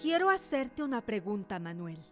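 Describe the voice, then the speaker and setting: A woman's voice: mid-range voice, big pitch swings, moderate pace, music in the background, natural voice. An actress in a radio drama playing a cultured, determined young woman who speaks in an assured, questioning way. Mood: probing.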